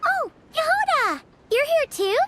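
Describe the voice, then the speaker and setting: Squeaky, excitable little game companion. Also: female voice, unhurried, exaggerated voice. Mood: surprised delight. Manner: exclamatory, wide-eyed. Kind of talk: video game voice acting. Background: game music.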